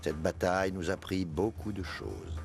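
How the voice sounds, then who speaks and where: An actor voicing a proud, devout man recounting his past in solemn, measured narration for an animated film. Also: sits low. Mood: grave. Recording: music under the voice.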